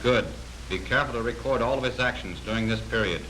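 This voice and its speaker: A man's voice: medium pitch, quick pace, bright, forceful tone, natural voice, low-quality recording. An actor playing a curt, authoritative male interrogation officer in a 1940s film. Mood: curt, commanding. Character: brisk commanding male Axis interrogation officer. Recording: hissy 1940s film soundtrack.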